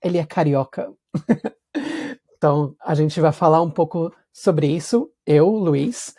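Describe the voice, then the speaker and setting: A man's voice: a little high, takes it slowly, warm tone. A man from São Paulo with a Paulista accent, hosting a podcast and asking curious questions while thinking aloud. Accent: Paulista Brazilian Portuguese. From São Paulo, Brazil. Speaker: podcast host. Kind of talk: casual conversational interview.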